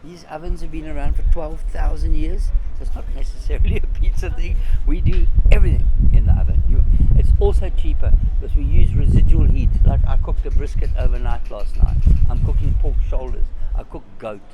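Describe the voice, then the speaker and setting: A man's voice: fairly high pitch, brisk, few pauses. A man who cooks in his own restaurant, talking casually and enthusiastically in an interview. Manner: enthusiastic, informal. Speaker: restaurant owner and cook. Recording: loud ambient urban noise.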